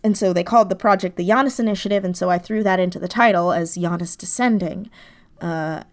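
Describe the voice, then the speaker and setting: A woman chatting casually as a podcast guest, an animated, effusive storyteller talking about her writing. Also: lowish voice, brisk. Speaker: podcast guest, audio drama writer. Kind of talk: casual interview chat.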